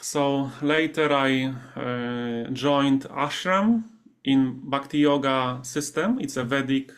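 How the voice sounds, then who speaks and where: A man's voice: medium pitch, takes it slowly. A man with a Polish accent, speaking conversationally as a guest in a remote interview. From Poland. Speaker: interview guest, meditation teacher. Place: remote video call.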